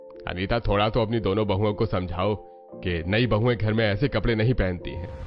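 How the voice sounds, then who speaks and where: Firm, traditional middle-aged cartoon father-in-law, lowish voice male performer, music underneath. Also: moderate pace, lo-fi sound, lightly acted.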